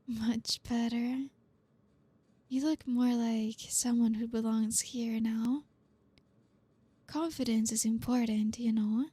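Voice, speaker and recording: A woman playing a flirty, dominant boss in an ASMR roleplay, speaking softly and breathily close to the mic in a teasing, commanding way. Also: on the high side, dry recording, full character voice. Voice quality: soft, breathy, often near whisper. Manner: slow, commanding, teasing, with pauses. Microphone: close mic, dry.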